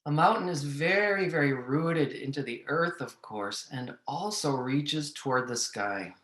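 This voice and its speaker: A man leading a guided meditation, giving gentle, measured instructions with long pauses. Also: fairly high pitch, animated. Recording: echoey room.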